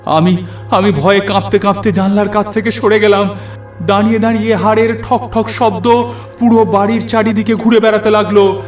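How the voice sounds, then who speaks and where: A man's voice: sits high, little pitch movement, moderate pace. Male narrator, scripted horror story, suspenseful, theatrical, echo, background music. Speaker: first-person narrator. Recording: echoey, music underneath.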